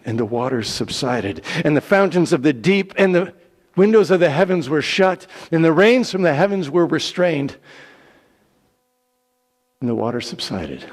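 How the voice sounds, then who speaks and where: Male scripture reader, slightly higher-pitched, deliberate and theatrical, dramatic narration in a reverberant hall. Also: animated. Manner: theatrical, declamatory, deliberate phrasing. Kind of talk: dramatic Bible reading, storytelling narration. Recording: long echoing hall reverb.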